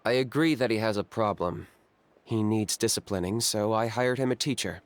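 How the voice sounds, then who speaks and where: An actor playing a cool, reserved young hunter in a video game, terse, deadpan and matter-of-fact. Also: male voice, on the low side, moderate pace, natural voice. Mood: cool, measured.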